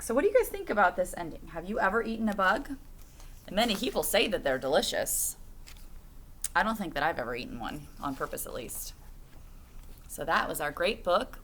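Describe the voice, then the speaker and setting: A woman's voice: low-pitched, a bit bright, a little hiss or hum. A woman reading a picture book aloud to children like a teacher, phrasing expressively and putting questions to her young listeners.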